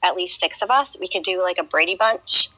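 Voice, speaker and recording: A woman's voice: fairly low pitch, fairly quick, bright, forceful tone, soft background music, rough recording. A woman chatting casually on a game live stream, hesitant and full of ums and small laughs. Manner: hesitant, many ums and restarts, laughs often. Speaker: streamer and clan recruiter.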